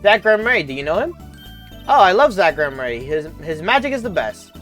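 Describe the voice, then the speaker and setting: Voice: medium pitch, big pitch swings, normal speed, a bit bright, faint music behind. A streamer voicing a cheerful young girl gushing about her favorite magician.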